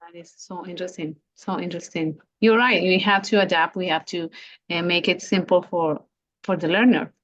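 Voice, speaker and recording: A woman's voice: lowish voice, steady tone, normal speed, a bit bright. Enthusiastic woman host, dull muffled audio. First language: not English. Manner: enthusiastic, repeats words while thinking. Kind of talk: casual interview chat.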